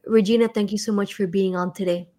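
Woman podcast host, mid-pitched, upbeat interviewer. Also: fairly even intonation, quick pace, dark tone. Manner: friendly, upbeat questions with fillers.

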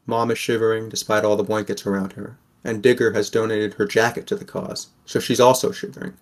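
A man's voice: fairly low pitch, level tone, brisk, close to an everyday voice. A man playing a wary, sardonic sixteen-year-old boy who narrates an audio drama in the first person. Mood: wry, reflective.

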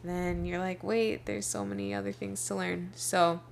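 Low, gravelly woman hosting a podcast. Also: flat delivery, moderate pace. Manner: chatty, self-deprecating, trails off and restarts. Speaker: podcast host. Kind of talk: casual podcast conversation. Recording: faint background noise.

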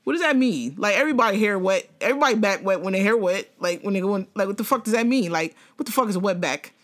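A young woman co-hosting a podcast in casual chat, animated, laughing often and swearing freely. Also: medium pitch, fast. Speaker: podcast co-host.

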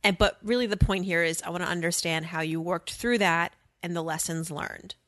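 A woman's voice: fairly low pitch, fairly even intonation, normal speed, a bit bright, no echo, clean studio sound. A woman hosting a podcast, asking questions in a chatty, curious way. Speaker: podcast host. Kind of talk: casual interview chat.

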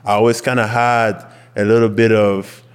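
A young man from Quebec whose first language is French, speaking English with a Quebec French accent as an interview guest, relaxed and candid with many fillers. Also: on the low side, moderate pace, clean tone, in a large hall. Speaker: guest, football player. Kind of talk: casual interview.

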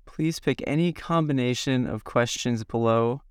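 A man from the Midwest in his thirties, slightly hoarse from a cold, answering interview questions to himself in a slow, halting, reflective way. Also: medium pitch, no room sound, studio quality. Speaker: podcast guest. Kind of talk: self-interview.

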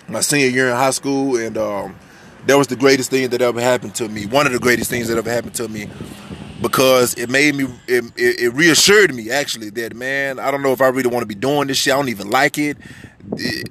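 A young man in his twenties from the United States with a deep voice, talking candidly and loosely in a personal podcast monologue, swearing freely and restarting his sentences. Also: fairly bright tone. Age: 20s. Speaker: podcast host.

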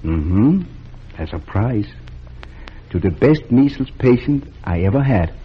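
An actor playing a kind, calm small-town doctor who speaks gently and reassuringly, in 1940s radio acting. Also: male voice, on the low side, slow pace, a little hiss or hum. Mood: gentle. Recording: muffled old radio broadcast.

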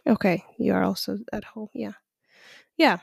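A woman hosting a birth story podcast as a doula, friendly and quick to laugh, with many fillers. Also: mid-range voice, vocal fry, husky, dry recording, studio quality. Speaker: podcast host and postpartum doula. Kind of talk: podcast interview with scripted intro.

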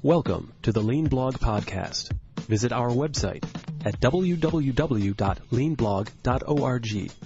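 Slow male announcer over music. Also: mid-range voice, lively intonation. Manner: slow and deliberate. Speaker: show announcer. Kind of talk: scripted show intro. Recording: dull lo-fi intro over music.

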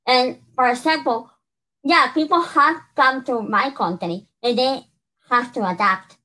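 Bright-voiced woman from Peru. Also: high-pitched, measured pace, low-quality recording. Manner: earnest, many restarts and "you know". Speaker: podcast co-host. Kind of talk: casual conversation.

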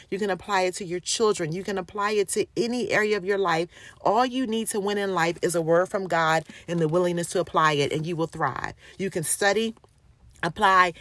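A woman's voice: lowish voice, no room sound. Earnest woman giving a devotional talk. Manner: encouraging, repetitive, earnest. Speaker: Bible teacher. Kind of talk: devotional teaching.

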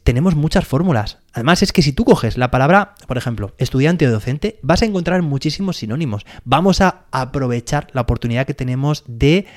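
A man with a Spain Spanish accent, a teacher hosting a solo educational podcast, explaining patiently and checking in with the listener. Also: medium pitch.

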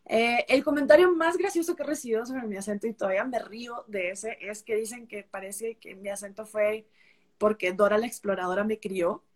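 A woman's voice: medium pitch, fairly quick. A young woman from Peru with a mixed Peruvian and Costa Rican accent, a travel creator chatting as a guest on a live stream, full of fillers and restarts. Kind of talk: casual live-stream chat. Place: traveling on a road trip, remote connection.

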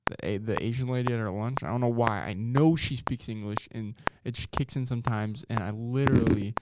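A man's voice: medium pitch, fairly quick. A young man chatting casually in a slangy, joking way. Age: young adult. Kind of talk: casual chat. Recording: very noisy recording with clicks.

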